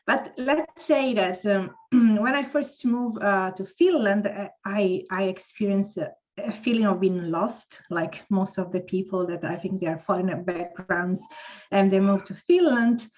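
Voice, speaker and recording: A woman's voice: medium pitch, a little slow. A woman with an Italian accent speaks thoughtfully, stopping now and then to find the right words as she tells her story. Her voice sits in an ordinary middle range. The audio is muffled and lo-fi. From Italy. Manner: reflective, searching for words. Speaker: panel speaker. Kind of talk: telling her career story. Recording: dull lo-fi audio.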